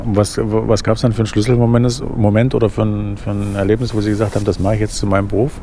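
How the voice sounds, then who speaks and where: Gravelly low-voiced radio host. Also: male voice, little pitch movement, mellow, slight background noise. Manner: brisk, conversational questioning. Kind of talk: radio interview.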